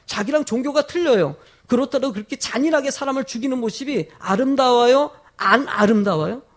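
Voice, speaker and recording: A man preaching a sermon as a pastor, emphatic, putting rhetorical questions to his congregation, his throat sore from a cold. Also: extremely high pitch. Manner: emphatic, with drawn-out stressed phrases.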